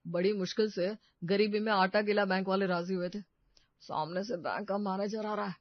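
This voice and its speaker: A man voicing an excitable member of a family household in a story cartoon. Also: sits high, moderate pace, no room sound, lo-fi sound, close to an everyday voice. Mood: panicked. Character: excitable men of a family household and their visitor. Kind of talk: Hindi moral-story cartoon dialogue.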